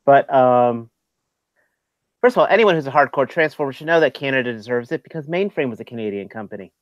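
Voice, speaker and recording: Man, podcast co-host, mid pitch, wry joking asides, dry muffled lo-fi audio. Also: expressive, fairly quick, no echo. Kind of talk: casual chat.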